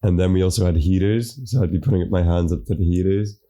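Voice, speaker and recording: A man co-hosting a casual podcast chat, hoarse from a cold, laid-back and swearing casually. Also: low-pitched, quick pace, mellow. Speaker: podcast co-host.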